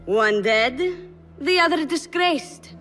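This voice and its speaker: A young palace girl in a game cutscene, coy and sly beneath the sweetness. Her high voice swings freely as she pleads and schemes, with music playing behind her. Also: animated, slow, a bit bright, full character voice. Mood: cold, scheming. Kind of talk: video game cutscene acting.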